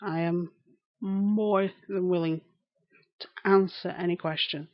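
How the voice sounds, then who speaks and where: A woman's voice: fairly low pitch, fairly even intonation, takes it slowly, dry recording. Lancashire lady in her 30s rambling on a livestream, says stuff twice, dry dull mic sound. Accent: broad Lancashire. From England. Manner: chatty and rambling, repeats phrases, greets viewers by name. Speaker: live streamer. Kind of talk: casual live-stream chat, answering viewers.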